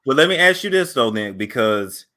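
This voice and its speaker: A man's voice: mid-range voice, sing-song, moderate pace, sharp tone. A man hosting a call-in show, laid-back and playful, questioning his callers as they talk. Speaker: host.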